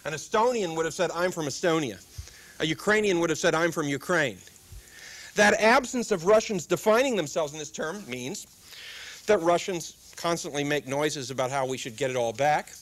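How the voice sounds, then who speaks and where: A man's voice: on the high side, lively intonation, normal speed, fairly bright tone. A middle-aged man with a dry, wry humor, giving a public lecture at a desk microphone in a lecture room. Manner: dry wry humor, quotes with comic timing. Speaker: guest speaker.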